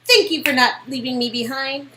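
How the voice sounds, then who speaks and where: A woman's voice: sits high, normal speed, bright, forceful tone, slight background noise. A woman performing dialogue from an interactive story game in a playful dramatic reading.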